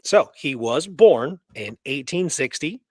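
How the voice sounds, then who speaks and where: A man's voice: a little high, lively intonation, takes it slowly, a little mellow, husky, no echo. A man co-hosting a casual history talk, going through research notes conversationally and often checking that his listener follows. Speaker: co-host presenting historical research.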